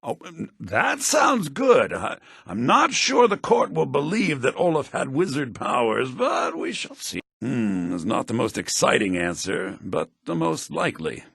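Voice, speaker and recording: An actor playing an earnest, theatrical head bard in a fantasy video game, speaking formally with a slightly raspy voice. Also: male voice, medium pitch, sing-song, measured pace, hardly any pauses, fairly bright tone, dry recording, studio quality, full character voice. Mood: amused, excited, then thoughtful. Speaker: game character, bard college leader.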